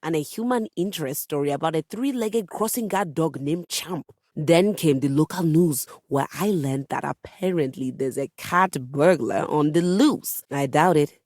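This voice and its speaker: A woman's voice: low-pitched, moderate pace, few pauses, a little mellow, no room sound, studio quality. A woman voicing a haughty, melodramatic musical instrument with a composer's snobbery in a video game, speaking with theatrical, pompous emphasis. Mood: indignant. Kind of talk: video game character voice acting.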